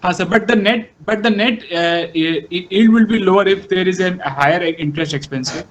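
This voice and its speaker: High-pitched hesitant Indian analyst. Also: male voice, brisk. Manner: hesitant, repeats words. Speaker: analyst asking questions. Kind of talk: earnings call questioning. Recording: call audio with faint noise.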